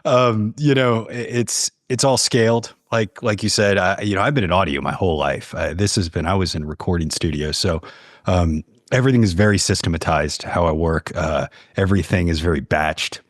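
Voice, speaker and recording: A man with a very low voice, recorded dry with no room sound. He talks casually as an interview guest, explaining things in a halting way and circling back over his phrases. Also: no echo. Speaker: podcast guest, audio producer and narrator.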